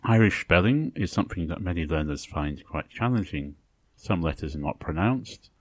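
A man hosting a podcast, explaining in a lecture style with measured, segmented phrasing. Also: sits low, no echo. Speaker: podcast host. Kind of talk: explanatory lecture narration on language.

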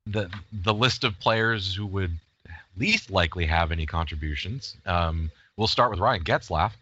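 A middle-aged man chatting casually and jokingly as host of a sports podcast, with an animated, self-deprecating manner. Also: deep voice, normal speed, slightly rough, dry recording. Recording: dull, lo-fi voice recording.

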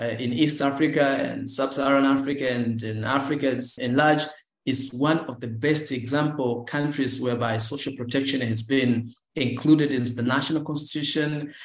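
A man with a Tanzanian accent giving explanatory interview answers as a guest, often repeating his key points. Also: medium pitch. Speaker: interview guest, course participant. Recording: echoey room sound.

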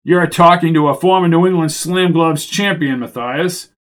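A man voicing a stubborn, combative priest with a forceful, declamatory pulpit delivery in an audiobook story. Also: on the high side, moderate pace, close to an everyday voice. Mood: indignant.

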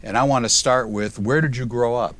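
A middle-aged man hosting an academic podcast interview, reading a measured introduction and then asking conversational questions. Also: mid-range voice, fairly even intonation, fairly bright tone. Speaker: podcast host, professor.

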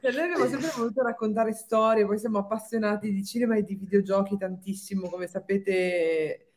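A woman's voice: medium pitch, steady tone, brisk, a little mellow, a little hiss or hum. A woman speaking as a guest film producer in a casual live-stream interview, explaining and correcting herself as she goes.

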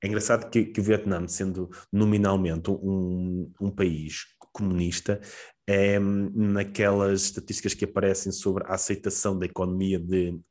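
A man with a European Portuguese accent, answering interview questions at length, pausing often with fillers and restarts. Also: sits low, little pitch movement, a little mellow. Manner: hesitant, many fillers and restarts. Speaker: guest, economist and lecturer. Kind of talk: conversational interview.

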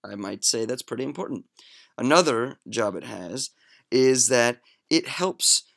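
A man's voice: mid-range voice, lively intonation, measured pace, creaky, a bit bright. A young man hosting a podcast, explaining facts in a chatty, joking way with lots of fillers and asides. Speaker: podcast host. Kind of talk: casual educational monologue.

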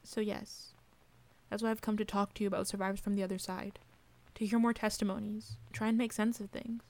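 A woman's voice: medium pitch, level tone, fairly quick, a little mellow, natural voice. A woman playing an earnest, haunted documentary maker in a radio play, asking short, insistent questions. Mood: haunted, grave.

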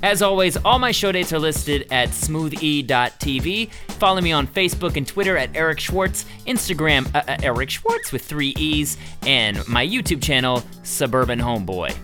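A man's voice: on the high side, moderate pace. A man hosting a comedy show, wisecracking through music news and chatting with a guest. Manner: wisecracking, animated. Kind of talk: comic music news chat.

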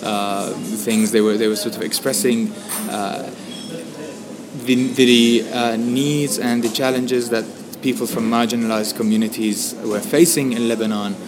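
A young man from Syria with a Syrian Arabic accent, a native Arabic speaker talking in English as a journalist guest, measured and hesitant with many pauses. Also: medium pitch, steady tone, over music. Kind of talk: conversational interview.

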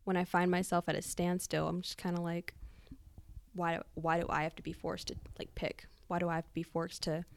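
Young American female, vocal fry, flat pitch range, candid interview guest. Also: brisk. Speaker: podcast guest. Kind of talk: candid personal account.

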